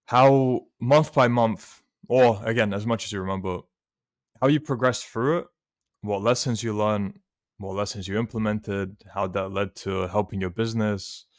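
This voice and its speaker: A man conducting an interview, asking questions in a measured, methodical way. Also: fairly low pitch.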